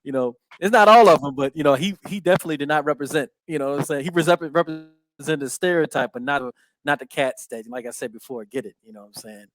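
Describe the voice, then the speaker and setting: A man with a somewhat high voice talks casually on a podcast, recorded close and dry. He keeps circling back with "you know what I'm saying" and murmurs agreement while his guest speaks. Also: quick pace, a bit bright, no room sound, poor audio. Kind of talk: casual conversation.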